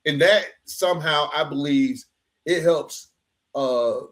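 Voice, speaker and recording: A man's voice: fairly high pitch, slow pace, fairly bright tone. A man hosting a podcast interview, speaking casually and reflectively, affirming his guest and punctuating his points with slang. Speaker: podcast host. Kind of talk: conversational interview with reflective commentary.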